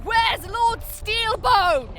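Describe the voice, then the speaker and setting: A woman playing a hard, imperious dark elf commander in a fantasy audio drama, speaking with dramatic authority. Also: extremely high pitch, lively intonation, drawn-out words, heavily acted. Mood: urgent shouting. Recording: music behind the voice.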